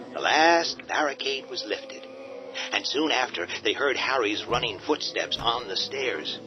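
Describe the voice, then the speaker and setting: A man narrating a horror radio drama in a steady, dramatic storytelling manner. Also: fairly low pitch. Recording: dull lo-fi with music beneath.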